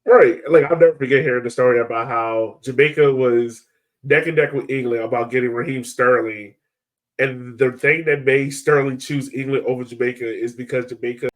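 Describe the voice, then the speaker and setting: Casual male podcast host, mid-pitched. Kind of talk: casual interview chat.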